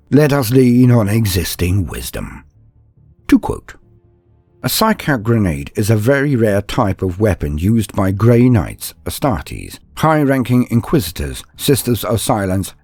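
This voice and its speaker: A man narrating a scripted story and guide in a theatrical, solemn storyteller's manner, with a husky voice. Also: on the low side, darkish tone, no room sound. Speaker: narrator and channel host.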